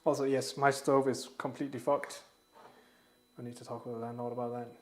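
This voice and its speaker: A man's voice: mid-range voice, flat delivery, fairly quick, darkish tone. A man from Denmark chatting casually and rambling, swearing now and then, as he cooks on a livestream in his home kitchen. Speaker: livestreamer cooking. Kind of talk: casual chat while cooking. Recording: echoey room with faint noise.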